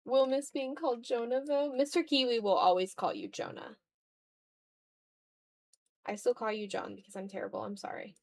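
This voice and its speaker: A tired woman chatting casually and rambling in a low-key way as she hosts a live art stream and draws. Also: moderate pace, gravelly, pauses often, a little mellow. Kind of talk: casual livestream chat while drawing.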